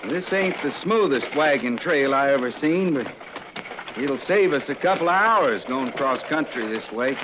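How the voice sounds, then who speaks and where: A man's voice: mid-range voice, animated, normal speed, lightly acted. A man acting a stubborn, plain-spoken frontier settler in a 1950s radio western, worried but firm, with folksy rural phrasing. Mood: gruff, uneasy. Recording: muffled, noisy old radio recording.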